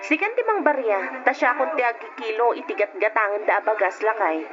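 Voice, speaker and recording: Woman acting a high-pitched, feisty rice vendor. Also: expressive, fairly quick, music in the background, close to an everyday voice. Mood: feisty, hawking. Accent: Ilocano. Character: feisty, brisk female rice vendor at market. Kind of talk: old radio drama acting in Ilocano. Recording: muffled, noisy old radio drama recording.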